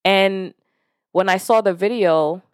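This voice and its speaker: A woman's voice: mid-range voice, takes it slowly, no room sound, studio quality. A woman from Nigeria whose first language is Yoruba, hosting a podcast and talking frankly and casually, with the odd curse, about self-growth. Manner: frank and conversational, with casual swearing; lively when retelling stories, voicing others. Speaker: podcast host. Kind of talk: candid self-help monologue.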